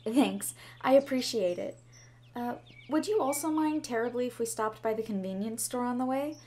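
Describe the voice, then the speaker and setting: A woman's voice: a little high, normal speed, dark tone, lightly acted. A woman playing a shy, sweet schoolgirl with a crush in an ASMR roleplay, speaking in a bashful, hesitant, rambling way. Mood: shy, friendly. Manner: bashful, hesitant, chatty, giggly. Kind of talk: ASMR roleplay, scripted to the listener. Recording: clearly audible background ambience noise.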